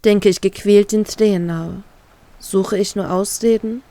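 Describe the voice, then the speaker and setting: A woman's voice: mid-range voice, fairly even intonation, a little slow, mellow. Woman reading an emotional first-person story. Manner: emotional, heartbroken inner monologue. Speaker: fan fiction reader.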